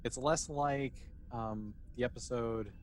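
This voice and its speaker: A man with a mid-pitched voice acts as game master, improvising the narration in a loose, conversational way. He often pauses to think aloud. The recording has some faint noise behind him. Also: fairly even intonation.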